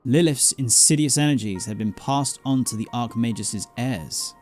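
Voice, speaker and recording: A man reads out a grave scholarly mentor in a fantasy video game. He recounts dark lore formally and deliberately, in a mid-pitched voice. Faint music plays underneath. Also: lightly acted. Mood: grave, dramatic. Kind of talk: dramatic reading of fantasy game dialogue.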